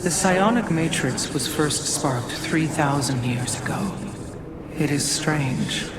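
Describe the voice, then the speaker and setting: A solemn, contemplative alien historian. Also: female voice, low voice, heavy background noise. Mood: wistful, uncertain. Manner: measured, formal, contemplative. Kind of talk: dramatic scripted game cutscene acting.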